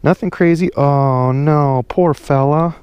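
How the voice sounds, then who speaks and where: A man's voice: a little high, slow pace, darkish tone, clear, resonant tone, dry recording. Young male fishing vlogger, chatty and folksy, outdoors, head-cam, dry. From Iowa, USA. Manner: chatty, upbeat, folksy sayings. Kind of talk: casual narration while fishing. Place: outdoors on a pond bank. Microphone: head-mounted action camera.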